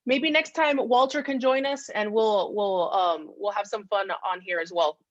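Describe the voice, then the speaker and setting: A woman's voice: medium pitch, normal speed, no room sound. A woman hosting a casual podcast chat, talking in an effusive, chatty way. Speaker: podcast host. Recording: dull, lo-fi close dry audio.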